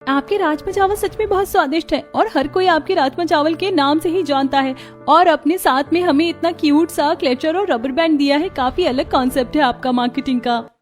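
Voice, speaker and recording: A man plays a young woman customer in a cartoon, chatty and polite. The voice is very high and girlish, with light music behind it. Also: a little fast, hardly any pauses. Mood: eager, chatty. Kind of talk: Hindi cartoon dubbing.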